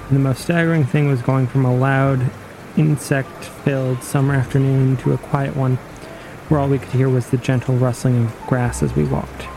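A man playing a dry, matter-of-fact post-apocalyptic wayfinder in an audio drama, speaking in a measured, precise way. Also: medium pitch, gravelly, noisy background, lightly acted. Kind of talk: audio drama interview storytelling.

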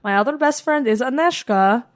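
A woman's voice: high-pitched, lively intonation, normal speed. A teenage girl voicing a brash Czechoslovakian woman alter ego, speaking broken English in a put-on Eastern European accent. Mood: playful. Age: teens. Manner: broken English without articles, theatrical. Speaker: guest.

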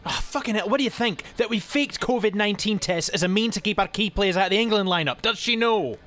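A man's voice: sits high, fast, fairly bright tone. An actor playing a sweary, exasperated football club staffer who needles his boss. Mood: angry mocking. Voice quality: constant swearing, sarcastic, needling. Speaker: actor in an audio comedy drama.

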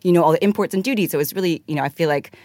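A woman's voice: on the low side, very fast, darkish tone, dry recording. A woman from Hong Kong, a guest in a studio interview, talking in a chatty, rambling way with many "like"s and restarts. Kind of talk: casual interview answer. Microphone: studio mic.

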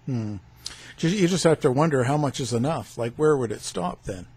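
A male interviewer with a mellow, relaxed voice and a husky texture. He asks conversational questions in a tentative, halting way, often rewording them as he goes. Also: mid-range voice.

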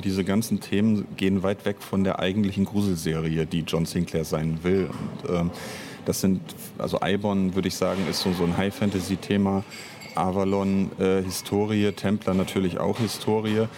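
A man with a fairly low voice talks as an interview guest about his creative work as author and director. He speaks off the cuff, reflectively, often hesitating to find the right word. There is noticeable noise behind him. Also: little pitch movement, normal speed, a little mellow. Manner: hesitant, reflective, searching for words. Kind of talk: unscripted interview conversation.

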